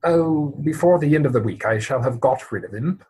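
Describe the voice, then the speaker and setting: A man acting an exasperated, earnest gentleman in a Victorian stage comedy, with formal, mannered phrasing, recorded at home over a video call. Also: mid-range voice, expressive, brisk, a little hiss or hum, close to an everyday voice. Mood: breezy. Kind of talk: stage comedy dramatic reading.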